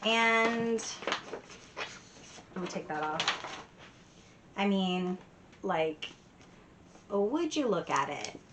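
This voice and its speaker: A woman's voice: medium pitch, lively intonation, very slow, long pauses, background noise. A woman book vlogger chatting casually and enthusiastically, with giggly, emphatic repetition. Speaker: book vlogger answering tag questions. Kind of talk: casual chat to camera.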